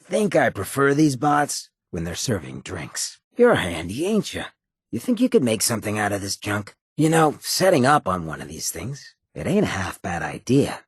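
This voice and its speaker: An actor playing a roguish, sardonic but loyal ghoul outlaw in a video game, with a gravelly rasp and a cocky, laid-back street drawl. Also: male voice, lowish voice, wildly swooping pitch, normal speed, no echo, clean studio sound, exaggerated voice. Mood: wry, playful. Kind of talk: video game companion dialogue.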